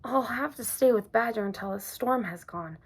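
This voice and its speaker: A woman's voice: a little high, normal speed, husky. A woman doing a small eager hedgehog boy. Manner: eager and earnest. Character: small, kind, brave young male hedgehog.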